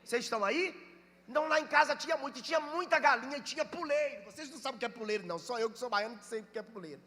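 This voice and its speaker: A Brazilian pastor from Bahia with a Bahian accent, preaching a sermon, reading scripture slowly and calling on the congregation to answer. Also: male voice, very high-pitched, bright, forceful tone, long reverb tail. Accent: Bahian Brazilian Portuguese. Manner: reads scripture slowly and drawn out, urges listeners to respond.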